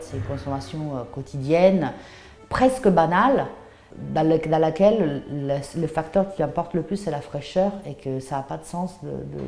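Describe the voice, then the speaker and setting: A woman's voice: low voice, gravelly, dark tone, reverberant. A woman in her thirties from southern Italy, speaking with an Italian accent in a hesitant, think-aloud way as she explains her craft. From Puglia, southern Italy. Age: 30s. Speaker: artisan cheesemaker and shop founder. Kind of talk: personal interview account of her craft.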